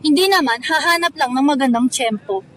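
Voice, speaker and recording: A woman's voice: high voice, brisk, a bit bright, a little hiss or hum. A woman playing a blunt, dramatic teenage schoolgirl in an amateur student radio drama, given to exclaiming. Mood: argumentative.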